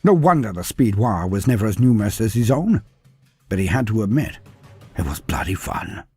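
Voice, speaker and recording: A man narrating a scripted fantasy tale in a theatrical, deliberate storytelling manner with florid phrasing. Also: on the low side, very animated, darkish tone, slightly rough, faint music behind.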